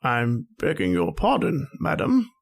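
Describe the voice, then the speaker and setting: A woman playing a polite, puzzled household servant, formal and deferential, with a gravelly husky voice, in an old-time radio horror drama. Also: a bass voice, huge pitch swings, a little slow, exaggerated voice.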